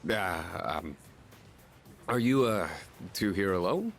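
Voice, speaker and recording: An actor voicing a proud but socially awkward male high school senior in a video game. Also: expressive, slow, faint music behind. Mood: nervous, halting. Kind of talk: English video game voice acting.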